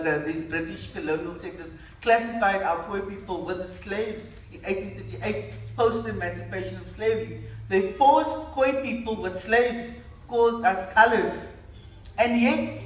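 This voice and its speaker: A man's voice: high voice, fairly bright tone. A man speaking on a discussion panel, impassioned and emphatic, often restarting his sentences. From South Africa. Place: hall at a panel event. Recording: echoey room, mic far off.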